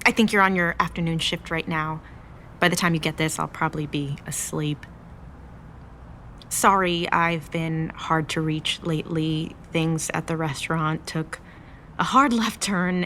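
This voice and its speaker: Stressed, stubborn young woman, bright voice. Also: sits low, slight background noise. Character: stressed, stubborn young Mexican American woman running a restaurant. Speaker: voice actor in an audio drama. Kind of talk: naturalistic romantic-comedy podcast acting.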